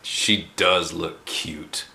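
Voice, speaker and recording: Man doing a jolly old grandpa, joking and hearty, slow and easy. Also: low-pitched, steady tone, creaky, bright, forceful tone, pitched below the performer's own voice, full character voice.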